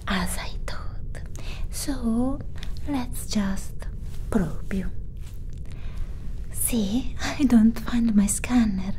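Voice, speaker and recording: Greedy, mistrustful alien smuggler woman played by an ASMR artist, breathy whisper, very slow, mellow, faint hiss behind. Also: medium pitch, close to an everyday voice. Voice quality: soft whisper. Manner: teasing, drawn-out, with murmured hums and little laughs. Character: greedy, distrustful female alien smuggler, mercenary and teasing. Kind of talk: ASMR roleplay.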